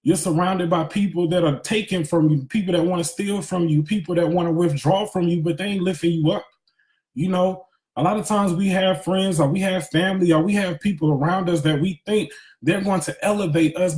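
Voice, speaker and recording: A man's voice: high voice, fairly even intonation, fairly quick, darkish tone. A man in his thirties giving a casual, emphatic spiritual pep talk in candid slangy speech, repeating phrases for emphasis. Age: 30s. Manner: conversational street-smart slang, candid. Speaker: motivational speaker. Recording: echoey, roomy sound.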